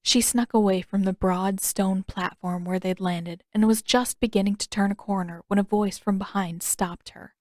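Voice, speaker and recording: A woman's voice: mid-range voice, fairly even intonation, moderate pace, hardly any pauses, darkish tone, dry recording, studio quality. A woman reading scripted fiction as an audiobook narrator.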